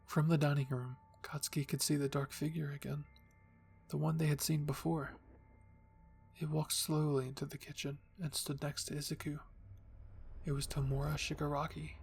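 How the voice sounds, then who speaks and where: A man reading a spooky fan-fiction story in soft, hushed ASMR narration. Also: a little high, creaky, a little hiss or hum. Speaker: storyteller, channel host.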